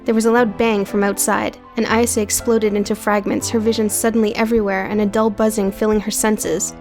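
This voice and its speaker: A woman's voice: medium pitch, little pitch movement, fairly quick, darkish tone. Female storyteller over music. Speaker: audio book narrator. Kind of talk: scripted narration.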